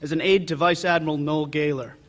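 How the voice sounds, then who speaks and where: An actor playing a dutiful navy lieutenant who answers formally and briefly on the stand. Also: male voice, fairly high pitch, steady tone, fairly quick, lightly acted. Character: crisp, dutiful young male naval officer.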